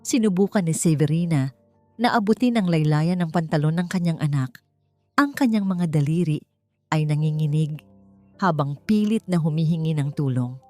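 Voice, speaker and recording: Female narrator for dramatic story podcast, slightly low, measured and solemn, close dry recording. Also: dark tone, dry recording. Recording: dry voice over background music. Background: music.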